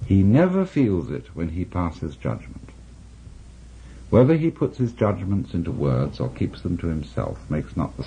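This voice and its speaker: A middle-aged man on a 1960s radio show, reading a philosophical text aloud in a measured, reflective way with long pauses. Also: deep voice, mellow, husky. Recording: dull lo-fi 1960s radio recording.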